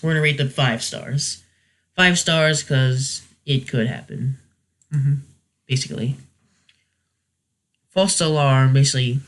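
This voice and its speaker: Higher-pitched man giving casual rambling reviews. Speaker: reviewer hosting a weekly video series. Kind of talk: casual unscripted review commentary.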